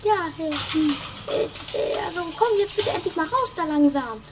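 A woman's voice: high-pitched, lively intonation, normal speed, background noise. A woman playing a bossy, flustered, scheming sister in an amateur comedy radio play, stammering impatiently. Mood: urgent scheming.

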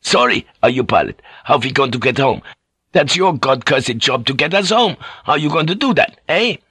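A man's voice: mid-range voice, lively intonation, moderate pace, pushed and bright, husky, dry recording, studio quality, voice pushed up. A man voicing a frantic, foul-mouthed sailor in an audiobook, ranting and blustering as he cracks into madness. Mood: angry ranting. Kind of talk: dramatic audiobook character voice.

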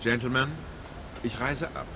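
An actor playing a composed, precise English gentleman in a radio play, formal and unflappable. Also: medium pitch, steady tone, measured pace, noisy background, close to an everyday voice. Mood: calm, businesslike.

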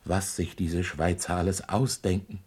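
A man's voice: sits low, level tone, a little slow, darkish tone, slightly rough. A man playing a pedantic, grumbling notary who reluctantly takes dictation in a radio play.

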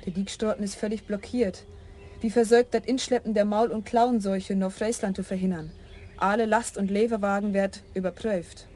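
An actress playing a brisk young country policewoman who speaks with a broad Frisian accent and a blunt, official manner. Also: mid-range voice, steady tone, a little mellow, a little hiss or hum, close to an everyday voice. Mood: stern, official. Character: brisk young female country traffic cop. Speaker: motorcycle policewoman. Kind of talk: radio drama acting.

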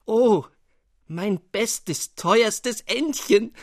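A man's voice: high voice, drawn-out words, dry recording, studio quality, voice pushed up, exaggerated voice. A man voicing a lovestruck, effusive male poet with theatrical exclamations in a fairy tale. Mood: rapturous.

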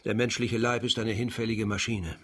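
An actor playing a sober, resigned hospital doctor of old Paris, formal and courteous in a German radio crime drama. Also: male voice, fairly low pitch, flat delivery, normal speed, a bit bright. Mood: resigned, guarded.

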